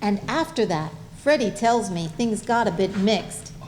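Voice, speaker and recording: A woman's voice: medium pitch, animated, a little slow, background noise. A woman narrating a comic stage play as scripted storytelling.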